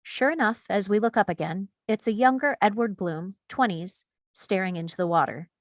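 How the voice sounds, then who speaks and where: A woman's voice: mid-range voice, moderate pace, dry recording, clean studio sound. A woman reading stage directions as scripted narration at a screenplay table read.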